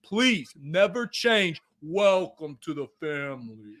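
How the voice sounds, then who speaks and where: A loud, brash Italian-American mobster type, crude and boisterous, as a man puts him on in a jokey podcast bit. The voice sits high and sharp, pressing every line with bright, forceful energy. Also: sing-song, slow pace, full character voice. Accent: put-on Italian-American. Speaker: podcast co-host.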